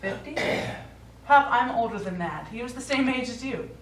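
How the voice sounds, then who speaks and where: A woman's voice: a little high, animated, moderate pace, close to an everyday voice. Woman acting a concerned daughter, echoey stage. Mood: puzzled, correcting. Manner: coaxing, mildly exasperated. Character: concerned, practical middle-aged daughter of elderly father. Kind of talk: live stage play acting. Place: small indoor theatre stage, recorded from afar. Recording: echoey theatre, distant mic, faint noise.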